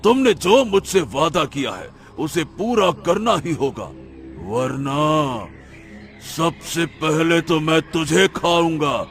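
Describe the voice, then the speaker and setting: A man's voice: fairly high pitch, expressive, takes it slowly, creaky, soft background music, exaggerated voice. A man playing a savage, cruel man-eating monster in a cartoon story, making menacing, commanding threats. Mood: angry threatening. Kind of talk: dramatic animated story dialogue.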